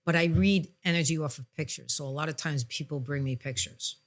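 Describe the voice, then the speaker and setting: A woman's voice: deep voice, brisk. Candid, emphatic woman podcast host. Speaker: podcast host and psychic medium. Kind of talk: candid conversational podcast talk.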